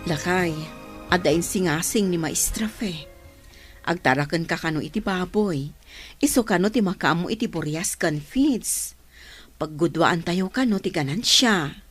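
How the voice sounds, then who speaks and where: Radio drama role: actress as poor rural wife and mother, low voice, plain and worried. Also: a little slow, faint music behind, natural voice. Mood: urging.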